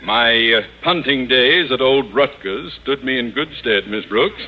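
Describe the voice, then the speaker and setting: A man's voice: medium pitch, unhurried, soft background music, close to an everyday voice. A man acting a pompous, bossy, blustering middle-aged school principal in theatrical old-time radio comedy. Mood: self-satisfied, blustering. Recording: muffled, faintly noisy old radio recording.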